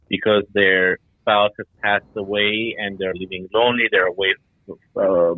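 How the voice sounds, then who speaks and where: A man's voice: fairly low pitch, no room sound. A middle-aged man from Iran and Denmark giving explanatory answers as an interview guest. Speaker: guest, startup founder. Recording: tinny phone-like line.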